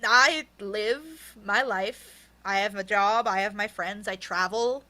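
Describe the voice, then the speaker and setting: A woman's voice: medium pitch, slow, a crowd in the background. A woman being interviewed as an author, talking candidly and emphatically in a conversational, storytelling way.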